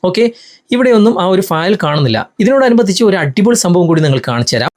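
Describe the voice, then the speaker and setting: Male tutorial host, Malayali accent, slightly high voice, very quick delivery, instructional and step-by-step. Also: very animated, darkish tone. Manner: instructional, step-by-step, addressing viewers directly. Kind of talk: explanatory phone tutorial narration.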